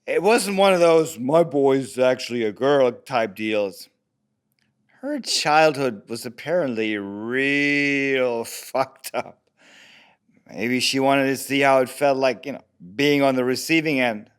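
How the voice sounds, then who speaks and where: A man's voice: mid-range voice, takes it slowly, clean tone, close to an everyday voice. An actor playing a defensive, abusive deadbeat father under interrogation in an audio drama, evasive, rambling and profane. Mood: rambling, frustrated. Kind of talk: audio drama table read.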